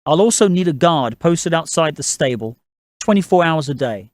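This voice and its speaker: Male narrator as shrewd moneyed patriarch in a storybook, raised pitch, courteous but commanding, dry close sound. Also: expressive, normal speed, no echo, lightly acted. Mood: courteous, assured. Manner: polite, commanding, businesslike. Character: assertive gentlemanly father, a shrewd, moneyed family patriarch. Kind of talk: audiobook character dialogue.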